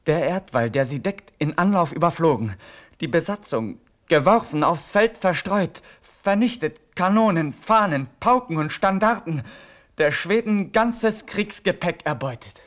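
An actor playing a grave, impassioned cavalry officer, declaiming measured verse in a classical German radio drama. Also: male voice, a little high, lively intonation. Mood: solemn, rousing.

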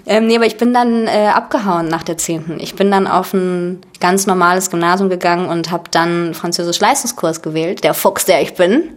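A woman in her twenties from Germany talks in a relaxed, chatty way. Her voice sits a little low. She often restarts her sentences as she talks through an interview. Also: moderate pace, few pauses. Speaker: actress and guest. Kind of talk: casual chat.

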